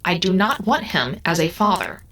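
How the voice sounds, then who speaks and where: A woman's voice: lowish voice, very animated, moderate pace, a bit bright. A woman playing an inhumanly curious shapeshifting daughter spirit in an audio drama, questioning in a formal, literal way. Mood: insistent, cool.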